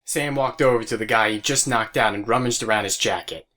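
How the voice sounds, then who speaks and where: A man's voice: lowish voice, fairly quick, sharp tone. A man narrating an audio drama as a scripted story. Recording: music bed behind voice.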